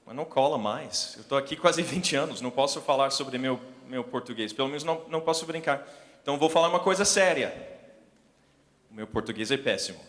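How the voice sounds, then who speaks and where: A man in his forties with an American accent, a native English speaker, preaching through personal stories in a self-deprecating, halting way. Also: medium pitch, fairly bright tone. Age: 40s. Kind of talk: sermon with personal storytelling. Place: large indoor hall, speaking to an audience. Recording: long hall reverb from PA.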